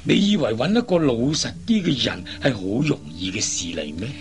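An actor playing a worried middle-aged father in a Cantonese radio drama, sighing and speaking with drawn-out emotion. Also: medium pitch, very animated, soft background music, natural voice. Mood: dismayed. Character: worried, exasperated father of grown daughters.

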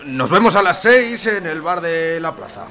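A man's voice: a little high, expressive, unhurried, pushed and bright. A man playing a stiffly formal suitor. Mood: earnest. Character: awkward middle-aged man courting an old friend. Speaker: character in a radio serial. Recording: crowd murmur behind the voice.

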